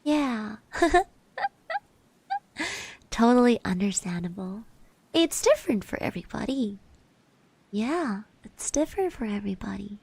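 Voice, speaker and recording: Woman doing a sweet shy girl classmate, asmr roleplay, really slow n soft, hesitant, very dry sound. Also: a little high, expressive, husky, dry recording, full character voice. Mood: gentle interest. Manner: hesitant, gentle, refers to herself in third person.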